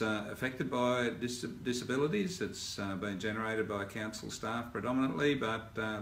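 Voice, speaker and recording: Older Australian man, formal mayoral update. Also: fairly low pitch. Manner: measured, formal, with frequent "uh" fillers and restarts. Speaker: mayor giving a council update. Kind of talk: spoken video update to residents. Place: indoor office in front of a backdrop. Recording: roomy sound with faint ambient hiss.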